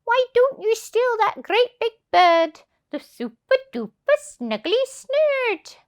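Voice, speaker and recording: A woman performs a crafty female goose from a children's storybook. She wheedles persuasively in a very high voice that swoops up and down. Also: sing-song, slow pace, full character voice. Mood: sly, persuasive.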